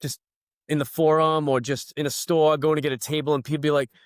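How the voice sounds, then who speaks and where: A man's voice: on the high side, flat delivery, a little fast, no room sound, studio quality. A man in his forties from the United States whose first language is Portuguese, a podcast guest chatting enthusiastically and repeating phrases for emphasis. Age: 40s. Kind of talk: casual chat.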